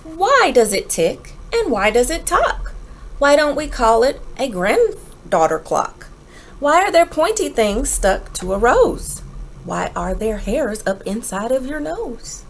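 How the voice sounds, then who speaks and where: A woman's voice: sits high, big pitch swings, gravelly, slight background noise, exaggerated voice. Woman reading as an eager, curious little girl. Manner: eager, rapid-fire questioning. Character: curious, excitable three-year-old girl full of questions.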